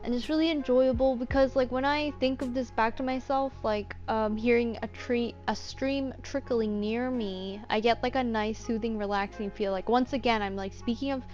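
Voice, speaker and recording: A woman's voice: on the high side, steady tone, normal speed, hardly any pauses, a little mellow, dull audio, faint music behind. A woman talking casually and unscripted through a tutorial, explaining things in a rambling way. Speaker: voice actor giving a tutorial.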